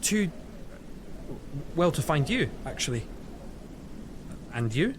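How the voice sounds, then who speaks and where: A troubled young man of a medieval court in an audio drama. His voice is on the high side and swings widely, earnest and hesitant, with clear ambient noise behind it. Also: big pitch swings, slow pace. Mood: guarded, awkward.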